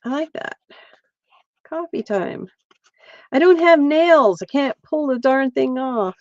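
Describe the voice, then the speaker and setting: A woman's voice: sits high, lively intonation, takes it slowly, dry recording, muffled recording. A middle-aged woman from Pennsylvania, chatting casually with viewers while she crafts on a livestream. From central Pennsylvania.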